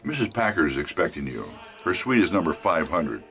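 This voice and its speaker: A courteous hotel clerk in a radio play. He stands off from the mic with loud music underneath. His delivery is formal and deferential, with lively pitch swings. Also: a little fast, distant mic. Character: polite, formal male hotel desk clerk. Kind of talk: radio drama acting.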